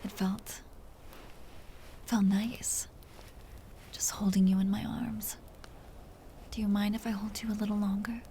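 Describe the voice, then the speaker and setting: Slow, whispering woman as a tender vampire countess. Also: mid-range voice, little pitch movement, a little hiss or hum, lightly acted. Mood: vulnerable, wistful. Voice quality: soft, breathy whisper. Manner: slow, soothing, teasing endearments. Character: possessive, tender vampire countess doting on her captive. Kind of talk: intimate whispered ASMR roleplay.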